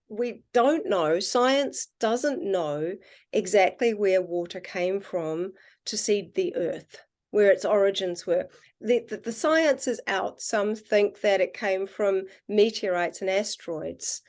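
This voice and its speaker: A woman with a New Zealand accent talks as a podcast guest in an easy, conversational way. She sounds earnest and reflective, and she slows down on the points that matter to her. Her voice sits at an average pitch. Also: a little mellow. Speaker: podcast guest, water researcher. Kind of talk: casual conversational interview.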